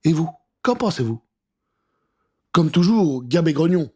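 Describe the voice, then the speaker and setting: Male storyteller, children's fiction, lightly raspy, raised register, expressive, suspenseful, scripted narration. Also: a little high, a little slow.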